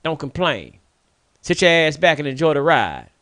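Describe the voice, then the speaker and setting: A man's voice: on the high side, big pitch swings, normal speed, bright, forceful tone, dry recording. Fired-up preachy man, dry sound. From United States. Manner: preachy, scolding, repetitive emphasis. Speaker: radio show host. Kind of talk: impassioned monologue.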